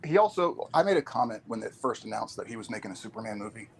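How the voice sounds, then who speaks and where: A man on a casual podcast chat, speaking in a measured way as he builds an argument. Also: fairly low pitch, lively intonation, slight background noise. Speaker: podcast co-host or guest.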